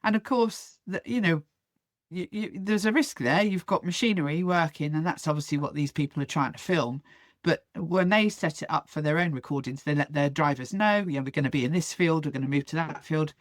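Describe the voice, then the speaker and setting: A woman's voice: deep voice, fairly quick, no room sound, studio quality. A woman hosting a podcast, asking questions in a chatty, hesitant way. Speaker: podcast host. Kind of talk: interview questions.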